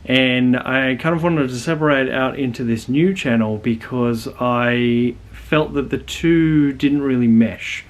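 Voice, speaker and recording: A man's voice: mid-range voice, a little slow, hardly any pauses. A young adult man with an Australian accent, introducing his channel in a rambling, earnest way with many restarts. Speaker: channel host. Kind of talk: casual talking-to-camera channel intro.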